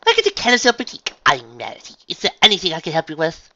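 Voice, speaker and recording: Man putting on a dramatic, high-swooping lady unicorn. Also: huge pitch swings, very fast, pushed and bright, dry recording, muffled recording, full character voice. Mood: bright, welcoming. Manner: prim, effusive, theatrical. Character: refined, excitable, dramatic female unicorn dressmaker.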